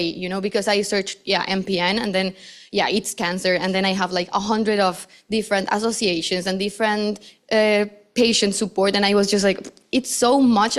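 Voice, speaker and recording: A young woman in her twenties from Costa Rica, sharing her own story on a conference panel in a casual, chatty way full of fillers. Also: mid-range voice. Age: 20s. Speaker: patient panelist. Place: conference hall.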